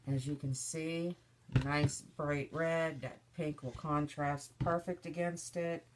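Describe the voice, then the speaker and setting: A woman making soap, chatting through each step in a casual demonstration, her voice slightly stuffy and hoarse from a cold. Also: low voice, steady tone, slow, noisy background. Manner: chatty, narrating each step as she works.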